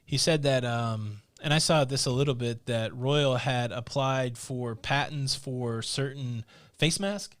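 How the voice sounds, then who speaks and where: A man's voice: medium pitch, unhurried, few pauses, no room sound. A middle-aged man from the American Midwest co-hosting a live stream, chatting casually and reading viewer comments aloud into a studio mic. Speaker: co-host. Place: small studio room with a purple backdrop. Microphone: studio mic on a boom arm.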